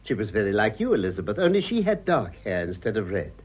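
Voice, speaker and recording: Older British gentleman, very animated pitch, husky, urbane, dryly ironic, radio comedy, slightly dull sound. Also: quick pace. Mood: wistful, wry. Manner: dry, ironic, avuncular. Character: urbane, wry older English gentleman, a deserted husband. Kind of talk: stage comedy radio drama acting.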